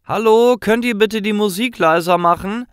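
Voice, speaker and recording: A man's voice: high-pitched, normal speed, clean tone, no room sound, clean studio sound, voice pushed up, natural voice. A man voicing an annoyed young woman who calls out to her noisy neighbours in a storybook. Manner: raised, calling out.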